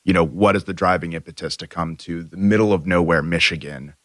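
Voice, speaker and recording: A man's voice: deep voice, steady tone, fairly quick, fairly bright tone, dry recording, clean studio sound. A young man, an MBA student co-hosting a podcast, talking in a loose, rambling way full of "you know" and "like". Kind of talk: casual podcast chat.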